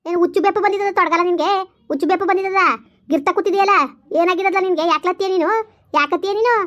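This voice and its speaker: A woman doing a shrill, foul-mouthed village elder sister. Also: steady tone, fast, fairly bright tone, lo-fi sound. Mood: scolding. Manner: berating, abusive, hectoring. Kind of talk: village family drama skit.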